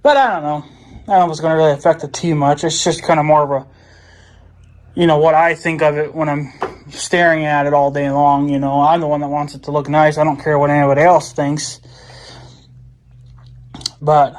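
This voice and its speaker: A man's voice: fairly high pitch, level tone, fairly quick, pauses often. A man chatting casually off the cuff, rambling and thinking aloud as he vlogs about a hands-on job, with some mild swearing. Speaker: vlogger. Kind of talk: casual off-the-cuff DIY vlog chat.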